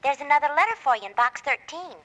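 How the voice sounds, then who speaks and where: A woman's voice: sits high, lively intonation, fast talker, a bit bright. A woman playing an eager, girlish young office assistant in a 1940s radio drama. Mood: eager, pleading. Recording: dull vintage 1940s radio recording.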